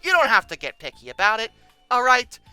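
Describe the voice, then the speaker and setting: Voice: medium pitch, wildly swooping pitch, a little fast, pushed and bright, smooth, no echo, soft background music. A video host giving casual, humorous commentary in a sarcastic, exasperated manner with dramatic emphasis.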